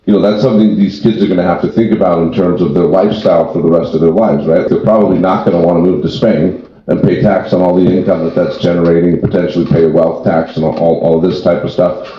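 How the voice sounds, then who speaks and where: Low-voiced man in a very echoey room. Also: fairly even intonation, fast. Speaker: tax and wealth planning founder, panelist. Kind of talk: extemporaneous panel discussion. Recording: very echoey room, dull sound.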